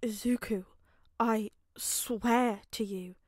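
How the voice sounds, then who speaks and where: A woman voicing a remorseful supervillain father in an audiobook, speaking slowly and haltingly with tender, pained emotion. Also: fairly high pitch, steady tone, a little mellow, dry recording, clean studio sound. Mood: anguished whisper.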